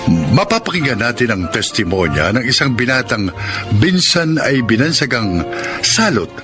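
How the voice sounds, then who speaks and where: Loud music bed, male drama announcer, lively intonation, scripted dramatic introduction. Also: mid-range voice, moderate pace.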